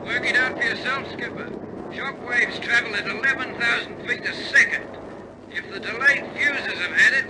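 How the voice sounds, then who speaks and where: A man playing an RAF bomber crewman in an old-style radio drama, speaking in a clipped, urgent, stoic military way. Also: very high-pitched. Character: male RAF bomber crewmen in tense wartime flight. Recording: noisy old recording, loud aircraft engine drone. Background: aircraft engine roar.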